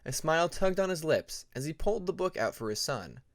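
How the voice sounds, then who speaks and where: A man's voice: a little high, very animated, fairly quick, dry recording, studio quality. A man reading a scripted story as an audiobook narrator.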